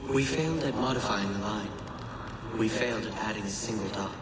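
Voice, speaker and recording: An actor playing a solemn messenger of an ancient lost civilization in a video game, speaking in grave, cryptic, measured oration. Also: male voice, loud music behind the voice, close to an everyday voice. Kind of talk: dramatic scripted game monologue. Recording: echoey voice over loud ambient rumble.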